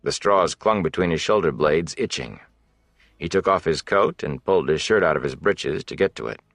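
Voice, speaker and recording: A man's voice: sits low, normal speed, a bit bright, dry recording, clean studio sound. A man narrating a fantasy novel as a scripted audiobook reading.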